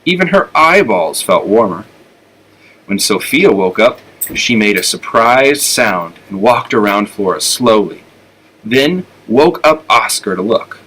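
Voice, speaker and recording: A man's voice: on the low side, very animated, measured pace, a bit bright, husky, low-quality recording. A man with an American accent narrating a children's storybook aloud. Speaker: elementary school teacher reading aloud.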